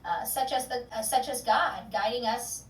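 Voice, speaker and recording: A woman's voice: fairly high pitch, moderate pace, echoey room, recorded from a distance. A woman hosting an online true-crime channel, rambling casually with many fillers and restarts before an upbeat sign-off. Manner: loud, upbeat sign-off catchphrases. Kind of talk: casual chat, sign-off to viewers.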